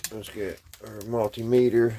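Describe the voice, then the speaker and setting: A man giving a casual hands-on demonstration to camera as a hobbyist presenter, talking in a folksy, halting way as he thinks aloud. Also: on the low side, flat delivery, background noise.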